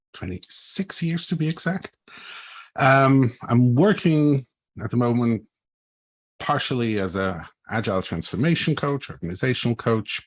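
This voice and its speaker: A man with a Swiss accent introducing himself as a panelist on a video call, relaxed and self-deprecating. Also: lowish voice, breathy edge. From Switzerland. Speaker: panelist, agile coach.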